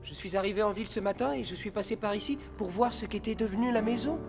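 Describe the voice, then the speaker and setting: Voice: mid-range voice, fast, loud music behind the voice, lightly acted. An actor voicing a jittery, dramatic man trapped in a cartoon haunted house. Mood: resigned, explaining. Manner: dramatic, alarmed. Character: jittery trapped man, great-nephew of the house's late owner. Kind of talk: French animated series dubbing.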